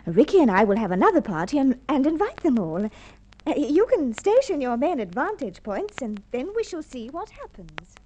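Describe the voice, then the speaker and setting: A woman's voice: high voice, very animated, normal speed, a little mellow, highs cut off, slight background noise. An actress playing a well-to-do, plausible society woman in a Golden Age radio drama, speaking with theatrical, polite diction. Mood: eager. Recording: old radio drama recording.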